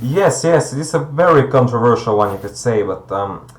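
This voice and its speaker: A man from Bulgaria, debating conversationally as a guest and haltingly searching for words. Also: medium pitch, lively intonation, a little hiss or hum. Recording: echoey room sound.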